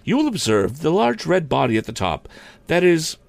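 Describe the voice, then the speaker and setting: Guy putting on a cheery young schoolmarm, high swoopy voice, gushing and teachy, storybook. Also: huge pitch swings, normal speed, creaky, no room sound, exaggerated voice. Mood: keen. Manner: gushing, lecturing.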